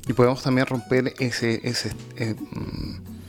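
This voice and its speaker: A man's voice: medium pitch, flat delivery, takes it slowly, soft background music. A man with a Chilean accent hosting an informal radio interview.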